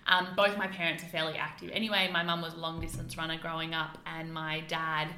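Young woman, uk-born with a mixed aussie accent, bright and kinda low, lots of ums, roomy echo. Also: level tone, a little fast. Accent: mixed British and Australian. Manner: chatty, laughs often, many fillers. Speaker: guest. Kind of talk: casual interview chat.

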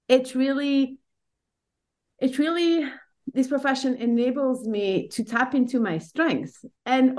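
A woman's voice: a little high, darkish tone. Reflective woman. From Brussels, Belgium. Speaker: professor, podcast guest. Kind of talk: conversational interview.

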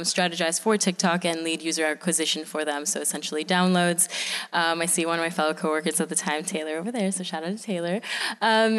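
Venezuelan-born woman on a live panel, lowish smooth voice, big hall echo. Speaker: panel guest, content strategist. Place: live event stage.

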